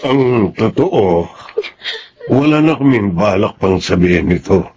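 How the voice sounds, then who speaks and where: Husky, grave Filipino father. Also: lowish voice, lively intonation, unhurried, a little mellow, dull audio. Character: grave middle-aged father burdened by a secret. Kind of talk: Filipino radio drama acting.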